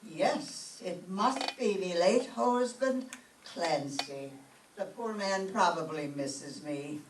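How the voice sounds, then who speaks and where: A woman playing an excitable, sentimental older Irish widowed landlady in an old-time radio comedy, with an Irish accent. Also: on the low side, slow pace. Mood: astonished, wistful. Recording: echoey room, distant mic, faint noise.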